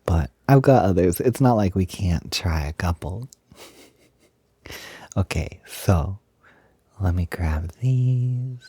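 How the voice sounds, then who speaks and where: A man playing a gentle, enthusiastic young roommate in an intimate audio roleplay, talking softly, hesitantly and reassuringly with a breathy voice. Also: deep voice, expressive, slow pace, pauses often, full character voice. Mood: playful, excited. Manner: soft, hesitant, giggly, reassuring. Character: gentle, enthusiastic young male roommate who loves frilly clothes.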